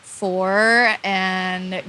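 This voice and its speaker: A woman's voice: medium pitch, fairly even intonation, extremely slow, pushed and bright, a little hiss or hum, low-quality recording. A woman from Hawaii in her thirties, a professional surfer, chatting casually in an interview and leaning on lots of "like" fillers. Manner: laid-back, lots of "like" fillers. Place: outdoors on a beach. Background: music.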